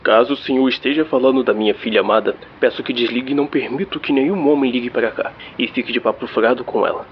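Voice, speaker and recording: A man's voice: medium pitch, fast, few pauses, close to an everyday voice. A man playing a jealous, traditional, middle-aged father, formal and pompous, in a radio soap. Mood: stern, suspicious. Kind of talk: comic melodramatic radio soap acting. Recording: dull lo-fi audio, faint noise.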